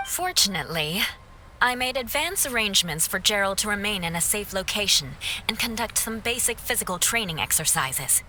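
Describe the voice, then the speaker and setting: Bright, crisp-mannered lady knight. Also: mid-range voice. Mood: calm, formal. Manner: formal, precise, measured wording. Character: composed, formal young woman knight, stern but protective. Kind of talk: anime video game voice acting.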